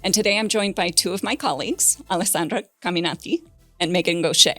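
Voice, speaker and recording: A woman hosting a podcast interview, talking in a relaxed, conversational way with personal anecdotes. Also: lowish voice, fast talker, no room sound, soft background music. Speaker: podcast host.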